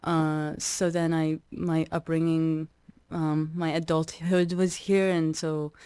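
A young adult woman from Iraq, a musician guest in a radio interview, talking reflectively with many pauses and restarts. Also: low voice, little pitch movement, mellow, clean tone, dry recording.

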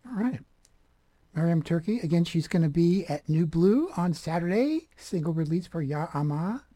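A woman's voice: on the low side, animated, moderate pace, mellow, no room sound. A woman hosting a radio interview, asking short questions and announcing shows. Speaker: radio host.

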